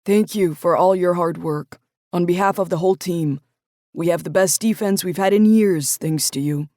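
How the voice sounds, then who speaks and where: A woman's voice: lowish voice, normal speed, mellow, dry recording, studio quality, lightly acted. Woman doing a firm, stern male football coach. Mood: kind. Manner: firm, pressing, authoritative. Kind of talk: audiobook character voice.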